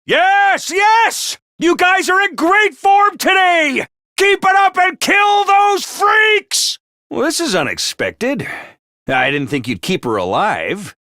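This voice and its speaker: A very high, bright little mascot creature, cheery over the announcement system but sly and cold underneath. Its pitch jumps around a lot. The studio recording is close and dry. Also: male voice, expressive, slow, dry recording, exaggerated voice. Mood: cheering. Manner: cheery announcer voice turning snide and callous. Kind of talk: video game voice lines.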